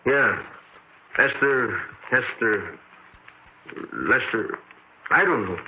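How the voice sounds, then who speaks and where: An actor playing a chatty, absent-minded bartender in a 1940s radio drama, rambling in offhand slang. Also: male voice, medium pitch, lively intonation, very slow. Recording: tinny, hissy 1940s radio recording.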